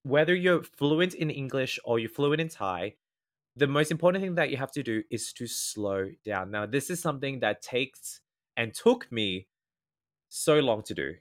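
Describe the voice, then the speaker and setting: A man's voice: medium pitch, lively intonation, normal speed. An Australian man with a slightly nasal voice, a guest in a casual interview, earnestly explaining advice point by point. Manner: earnest, explanatory, lays out tips in ordered points. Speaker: guest speaker, former event MC.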